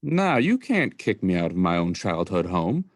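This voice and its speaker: Young man as a lowish-voiced, slightly sleazy salesman uncle. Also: sing-song, moderate pace, no room sound, clean studio sound, heavily acted. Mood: indignant, evasive. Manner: defensive, casual wheedling. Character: lightly sleazy middle-aged stolen-watch salesman uncle. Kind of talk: student radio play acting.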